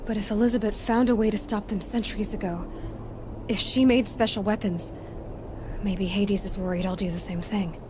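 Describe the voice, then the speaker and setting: An actress playing a determined, defiant young huntress in a video game, speaking directly and insistently, full of questions. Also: female voice, medium pitch, steady tone, normal speed, noisy background, close to an everyday voice. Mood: uneasy, pensive. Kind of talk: video game cutscene dialogue.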